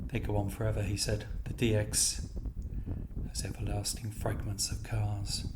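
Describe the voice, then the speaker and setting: Man, poetic narrator, lowish voice, measured phrasing, roomy echo. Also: monotone, noisy background.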